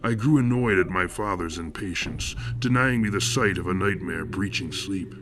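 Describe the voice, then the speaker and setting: A man acting as a somber first-person narrator of horror fiction, grave and deliberate in ornate storytelling. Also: low voice, lightly acted. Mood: grave, ominous. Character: somber male narrator recalling nightmarish horrors. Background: loud music.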